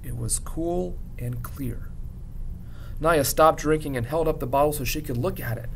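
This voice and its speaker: Man reading a story over rumbling noise. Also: moderate pace. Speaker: teacher reading a book aloud to students. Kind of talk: audiobook-style narration of a children's novel. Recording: clear background rumble noise.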